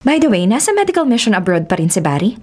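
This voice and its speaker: A woman's voice: medium pitch, sing-song, fast talker, a little mellow. A woman playing a determined, wry travel vlogger in her late twenties in a radio drama, with a Filipino accent. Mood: wry bantering.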